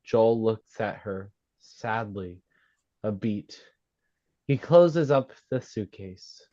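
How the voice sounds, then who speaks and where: A man reading screenplay stage directions at a table read, halting and stumbling over words as he goes. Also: fairly low pitch, expressive, warm tone. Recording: slightly dull, lo-fi sound.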